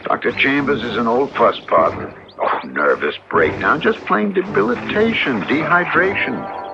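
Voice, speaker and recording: A man's voice: mid-range voice, very animated, measured pace, vocal fry. An actor playing a genial, stubborn, frail husband in his fifties in an old-time radio drama. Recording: muffled old radio recording with music.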